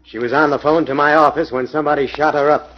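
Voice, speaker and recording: An actor playing a practical police inspector who speaks matter-of-fact, in a 1940s radio drama with a lo-fi sound. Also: male voice, on the high side, fairly even intonation, fast, natural voice.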